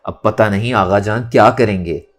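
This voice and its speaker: A man's voice: fairly low pitch, normal speed, faint music behind, lightly acted. A man voicing an anxious middle-aged mother who worries and scolds, in an audio novel. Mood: fearful.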